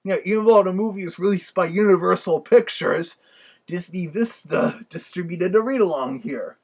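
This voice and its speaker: A man voicing a chatty, nostalgic big bad wolf with a gravelly, husky voice, giving a folksy casual review. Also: high voice, normal speed, darkish tone. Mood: informative.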